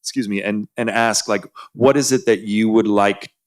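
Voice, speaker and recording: Low-voiced relaxed man at a panel. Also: moderate pace. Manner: relaxed, casual, checks the mic. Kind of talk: panel discussion. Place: conference panel room.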